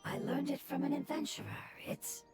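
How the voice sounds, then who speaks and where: A woman voicing a gentle, wistful little fungus creature in a video game. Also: high-pitched, level tone, moderate pace, natural voice. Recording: game dialogue with loud music behind.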